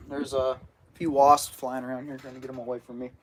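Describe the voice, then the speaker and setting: A man's voice: medium pitch, flat delivery, moderate pace. Teenage guy rambling on a live stream. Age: teens. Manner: rambling, many fillers and restarts. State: getting over a cold, sniffling. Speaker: fishing channel host. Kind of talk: casual live stream chat.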